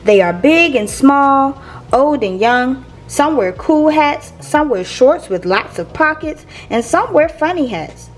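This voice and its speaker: A woman reading a picture book aloud to young listeners in a playful, expressive teacher's manner. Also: sits high, slow. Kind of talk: expressive children's read-aloud.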